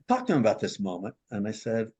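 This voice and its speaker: A man, a marriage and family therapist, recounting a counseling case as a lively storyteller who acts out the people he quotes. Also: fast talker, breathy edge. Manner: animated storyteller, acts out quoted voices with big pitch jumps.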